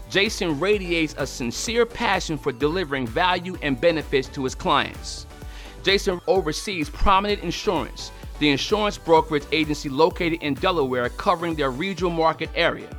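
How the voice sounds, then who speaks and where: A man's voice: fairly high pitch, animated, normal speed, a bit bright, soft background music. A man hosting an interview show in an enthusiastic, slangy way and laughing often. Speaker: show host.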